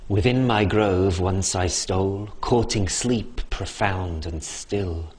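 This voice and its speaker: A man reciting a rhymed poem in a measured, rhythmic reading. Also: low voice, darkish tone, breathy edge. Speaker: poetry reader. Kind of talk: poetry recitation.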